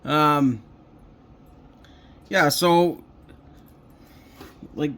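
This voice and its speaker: A middle-aged man talking casually and unscripted in a home vlog, halting and rambling as he thinks aloud. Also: fairly high pitch, a bit bright. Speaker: hobbyist electronics recycler and video host. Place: indoors at a home coffee table.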